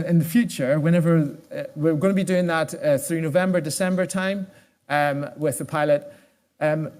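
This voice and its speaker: A man giving a conference presentation as an executive, speaking haltingly and often restarting his words and phrases. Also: a little high, a little mellow. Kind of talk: conference presentation with audience questions.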